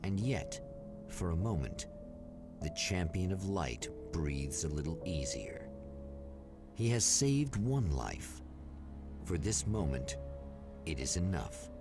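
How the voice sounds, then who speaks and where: An actor playing the solemn, portentous narrator of a vintage mystery anthology show, in measured dramatic narration. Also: male voice, sits low, big pitch swings, over music, heavily acted.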